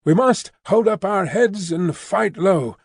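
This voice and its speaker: A man's voice: high-pitched, slow, husky, dry recording, studio quality, voice pushed up, exaggerated voice. A man voicing a fussy, fiercely loyal Englishwoman housekeeper who talks emphatically and primly. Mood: emphatic. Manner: emphatic, bustling, prim.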